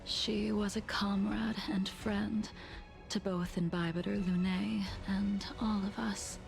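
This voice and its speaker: Casting: a reserved, grieving swordswoman for a game, unhurried and breathy with vocal fry, soft music behind. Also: medium pitch, steady tone. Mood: solemn, regretful. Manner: measured, reserved, formal. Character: solemn, composed, sorrowful swordswoman of long memory. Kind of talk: video game voice acting.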